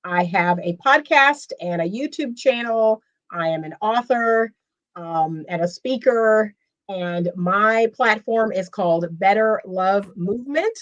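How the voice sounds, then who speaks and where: A woman's voice: medium pitch, lively intonation, slow pace. Composed woman therapist on lo-fi audio. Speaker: therapist and relationship coach, guest.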